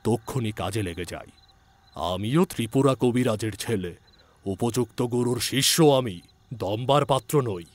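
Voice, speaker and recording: A man playing a village physician who recounts an eerie ghostly encounter in a measured, suspenseful audiobook narration. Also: medium pitch, close to an everyday voice. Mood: suspenseful, uneasy. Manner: measured, suspenseful delivery with hushed drops and emphatic questions. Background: background music.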